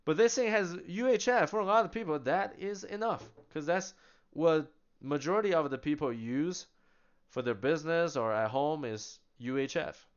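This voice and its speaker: A man casually demonstrating radio gear, chatty and animated, laughing now and then as he explains. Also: on the high side, normal speed, clean tone, muffled recording. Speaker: product demonstrator. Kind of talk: casual product demo.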